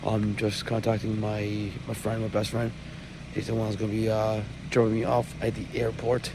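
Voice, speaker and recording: A young man vlogging as he travels, hoarse from losing his voice. His voice sits a little low and crackles with fry, and he hesitates, filling gaps with ums and uhs. Loud background noise surrounds him. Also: monotone, normal speed. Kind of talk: casual vlog to camera. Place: outdoors then at an airport.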